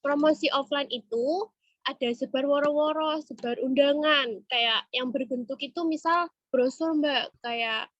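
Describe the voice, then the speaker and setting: A woman's voice: sits high, unhurried, no echo. A woman with a cold gives an informal, conversational training presentation over an online meeting, often checking in with her listeners. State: has a cold and cough. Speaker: webinar presenter and retail trainer. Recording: muffled, dull online-meeting audio.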